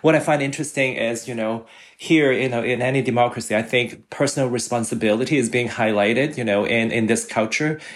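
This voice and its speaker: Brief: middle-aged male panelist from Hong Kong, mid-range, halting speech. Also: mid-range voice. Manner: hesitant, many fillers. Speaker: panel guest. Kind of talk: panel discussion.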